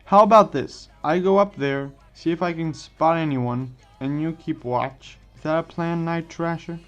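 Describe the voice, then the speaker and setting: A man's voice: on the high side, unhurried, lightly acted. A man voicing a sassy, confident teenage girl hero in a fan story, with a casual, teasing delivery. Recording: muffled lo-fi voice over background music.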